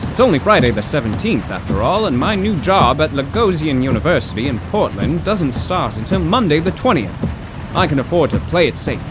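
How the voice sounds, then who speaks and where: An actor playing an excitable, bookish professor in a 1930s radio drama, theatrical and wordy with old-fashioned exclamations. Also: male voice, a little high, brisk. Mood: musing. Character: excitable, bookish 1930s male poetry professor, stranded motorist. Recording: rain and road noise.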